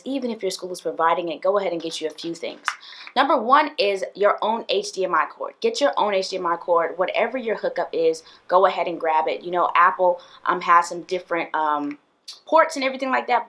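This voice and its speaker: A woman's voice: on the low side, fairly quick, a bit bright. Chatty woman teacher, direct. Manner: chatty and direct, addresses viewers, frequent fillers. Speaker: PE teacher and video host. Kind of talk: casual talking-head tips video. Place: indoors, small room with plain wall.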